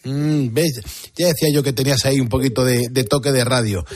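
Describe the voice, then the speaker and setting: Husky-voiced Spanish male radio host. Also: mid-range voice, fairly even intonation, brisk. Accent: Spanish, from Spain. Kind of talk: chatty live radio talk with callers.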